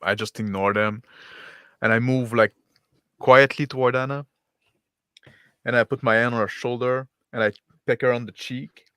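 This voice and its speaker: A man plays a tabletop roleplaying game and describes what his character does. He talks with hesitant restarts. His voice sits at a middling pitch and sounds dry and close to the mic. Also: steady tone, normal speed, pauses often, pushed and bright, smooth, no echo. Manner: hesitant, describes actions in first person. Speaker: player.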